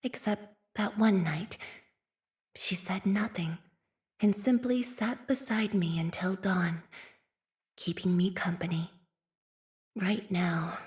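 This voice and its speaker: A young woman playing a composed, earnest scientist and leader in a video game, with a soft, slightly breathy voice and measured, formal, thoughtful phrasing. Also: medium pitch, natural voice. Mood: wistful, tender, then pensive, torn. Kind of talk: video game character voice lines.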